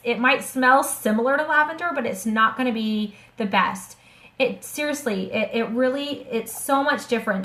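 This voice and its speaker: A hoarse woman hosting a live webinar, talking about essential oils in an eager, chatty way with many restarts and fillers. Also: a little high, pushed and bright. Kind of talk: casual live-stream sales talk. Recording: echoey room.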